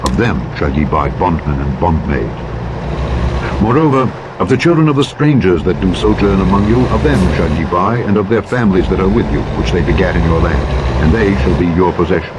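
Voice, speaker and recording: A man with a low voice narrates scripture in a solemn, formal register. His delivery is measured and declamatory. Loud truck engine and road noise runs behind him all the time. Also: lively intonation. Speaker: Bible narrator. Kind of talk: solemn scripture reading from the King James Bible. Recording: loud vehicle engine noise over audiobook playback. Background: truck simulator engine and road noise.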